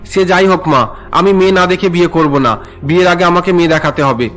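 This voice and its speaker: A man's voice: high-pitched, brisk, fairly bright tone, faint music behind. An actor voicing a resentful young man, an unwilling groom in a cartoon, whiny and indignant. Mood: protesting.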